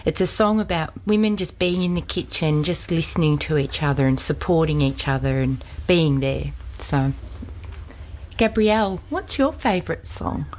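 A woman speaking warmly and appreciatively in a women's circle. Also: low-pitched, lively intonation, moderate pace, a little hiss or hum, rough recording. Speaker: group member.

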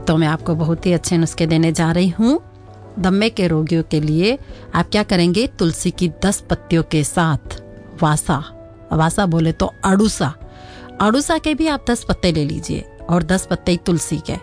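A woman presenting a radio home-remedy segment. She speaks in a patient, instructional way, repeats each remedy slowly and often checks in with a rising "okay?". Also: on the low side, a little mellow, faint music behind.

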